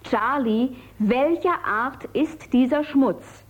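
A woman's voice: sits high, slow pace. A woman playing a shrewd, inquisitive and insistent character in a radio drama. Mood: urgent, insistent. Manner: probing, insistent. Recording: dull, muffled radio drama recording.

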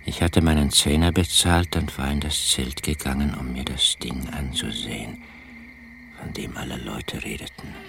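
An actor playing a brooding country man who narrates an eerie radio play, deliberate and hushed, often sinking toward a whisper. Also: extremely low pitch, fairly even intonation, gravelly, music in the background. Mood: hushed, fascinated. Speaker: first-person narrator.

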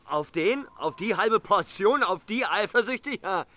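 An actor playing a brawny, jealous young head farmhand in a radio play, mocking and forceful. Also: male voice, high voice, animated, a little slow, heavily acted. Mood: jealous, bitter. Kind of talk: German radio play acting.

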